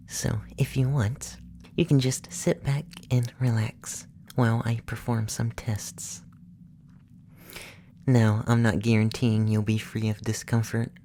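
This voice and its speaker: A performer voicing a clinical, teasing alien examiner in an ASMR roleplay, speaking softly and often slipping into a whisper. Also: medium pitch, a little hiss or hum. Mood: clinical, indulgent. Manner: soft-spoken, often whispery, measured, clinical. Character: alien examiner abducting a human, clinical, teasing, reluctantly indulgent. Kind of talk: ASMR roleplay, soft close talk drifting into whispers.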